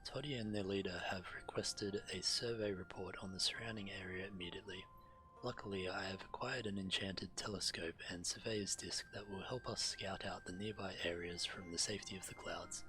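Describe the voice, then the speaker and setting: A man's voice: low-pitched, fairly even intonation, fairly quick, bright, forceful tone, low-quality recording. A man streaming a video game, reading quest text aloud and adding casual commentary. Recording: game music playing behind. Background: video game music.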